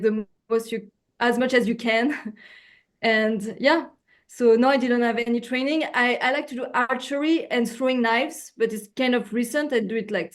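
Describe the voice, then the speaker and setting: A French woman speaking English as her second language, an actress chatting casually on a video call, often pausing for words and restarting. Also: on the high side. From France. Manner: searching for words, frequent fillers and restarts. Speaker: actress, guest.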